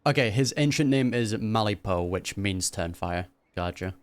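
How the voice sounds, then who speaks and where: A woman's voice: rumbling low, animated, moderate pace, slight background noise. A woman streamer giving casual, slangy, teasing reaction commentary over a video game. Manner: laughs often, teasing, slangy. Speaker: gaming streamer reacting to a story quest.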